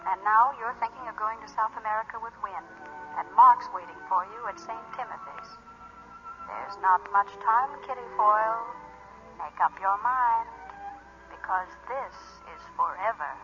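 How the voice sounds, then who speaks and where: An actress playing an insistent inner voice that recounts a woman's past, pointed and urging, in 1940s radio style. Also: a little high. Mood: urgent. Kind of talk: 1940s radio drama narration in second person. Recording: lo-fi vintage radio, faint music.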